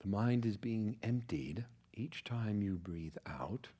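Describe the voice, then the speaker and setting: A man guiding a relaxation meditation as a yoga nidra teacher, speaking in a calm, measured, soothing way with pauses. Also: fairly low pitch, slightly rough.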